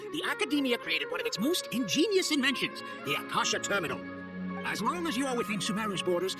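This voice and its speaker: A man playing a courteous official in a video game, explaining things formally and politely to newly arrived travelers. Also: sits high. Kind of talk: scripted video game dialogue.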